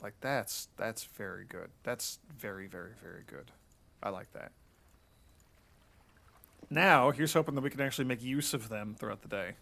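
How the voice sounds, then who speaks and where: A man's voice: medium pitch, creaky, a bit bright. A congested, sniffly man hosting a let's play at home, thinking aloud casually and reading game text out as he plays. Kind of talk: casual gameplay commentary. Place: home, indoors.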